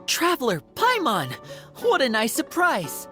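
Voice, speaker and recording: A man's voice: extremely high pitch, huge pitch swings, unhurried, music in the background, exaggerated voice. An actor playing a suave, courteous young male magician in a video game, with a polished, theatrical manner. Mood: genial.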